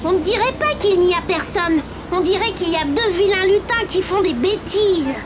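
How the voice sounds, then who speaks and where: A woman's voice: extremely high pitch, lively intonation, normal speed. A woman voicing a cheerful, helpful little wooden toy boy in a children's cartoon, speaking eagerly over music. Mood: accusing. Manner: bright, eager, exclamatory. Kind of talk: animated children's cartoon dubbing. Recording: loud music behind the voice.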